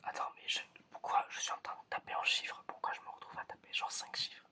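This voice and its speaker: A person whispering an ASMR roleplay as an examiner, in a breathy, chatty, playful and hesitant way. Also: fairly quick, pushed and bright. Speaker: ASMR creator playing an examiner giving sense tests.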